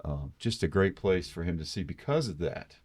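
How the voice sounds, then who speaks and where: Deep-voiced man hosting a podcast. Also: expressive, moderate pace, darkish tone. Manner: enthusiastic, rambling recommendations. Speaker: podcast host. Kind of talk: casual podcast conversation.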